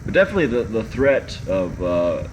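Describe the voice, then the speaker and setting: Male stream host, very low voice, rambling jokey chat, audible background hum. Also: very animated, a little fast, dull audio. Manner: rambling, joking, many ums and restarts. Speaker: livestream host reading chat. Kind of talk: casual live chat.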